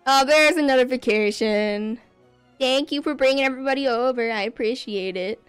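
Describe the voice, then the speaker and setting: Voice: sits high, normal speed, fairly bright tone, clean tone, faint music behind. A young adult streamer chatting casually over gameplay in their bedroom, rambling and laughing often. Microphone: desk microphone.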